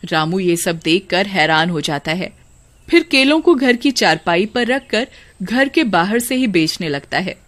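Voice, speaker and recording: Woman storyteller with lively intonation. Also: mid-range voice, unhurried. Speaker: narrator. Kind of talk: scripted storytelling narration.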